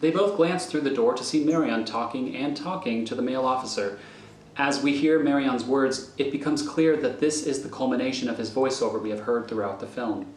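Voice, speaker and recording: A man's voice: medium pitch, brisk. A man narrating a script, reading out scene headings and stage directions. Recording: echoey room, faint noise.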